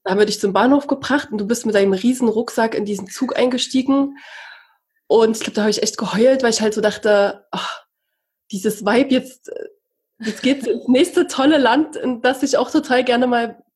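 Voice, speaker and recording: Breathy woman hosting an admiring chat. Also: on the high side, normal speed. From Saxony-Anhalt, Germany. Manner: warm, admiring and chatty. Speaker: host. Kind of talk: casual conversation.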